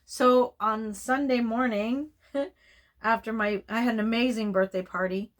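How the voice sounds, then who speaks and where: A woman in her fifties talks in a loose, rambling way, her voice hoarse and froggy from strain. She laughs often as she tells a story. She is recorded at home, off camera. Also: on the high side, steady tone, a little slow. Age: 50s. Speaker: reborn doll vlogger. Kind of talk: casual chatty storytelling.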